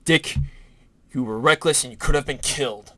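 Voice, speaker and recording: A man's voice: medium pitch, normal speed, sharp tone, close to an everyday voice. A man playing a stern, brooding adult superhero guardian in an audio drama, speaking tersely and seriously.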